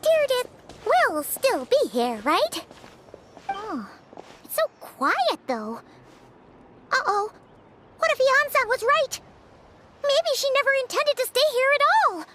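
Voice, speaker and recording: A woman voicing a tiny, excitable, cheeky fairy girl companion with a squeaky childlike voice in an anime game. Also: slow, pauses often, very noisy, heavily acted. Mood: uneasy. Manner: chatty, blunt, third-person childish exclamations. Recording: game music prominent behind voice.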